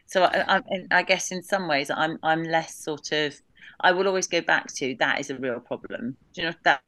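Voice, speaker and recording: Low bright-voiced woman, halting and candid. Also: dry recording. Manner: halting, many restarts, talks through grief with laughs. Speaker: podcast guest. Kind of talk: candid emotional interview.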